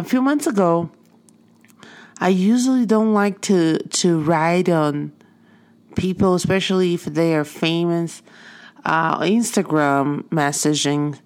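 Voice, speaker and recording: A woman's voice: fairly low pitch, darkish tone. A woman with a Portuguese accent, whose first language is Portuguese, telling a personal story in an earnest, heartfelt motivational talk as an artist and art teacher.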